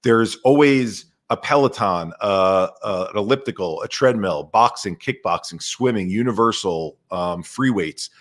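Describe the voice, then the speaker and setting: A man talking as a podcast guest in an animated, energetic way over a video call. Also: fairly low pitch, unhurried, few pauses. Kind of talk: casual conversation.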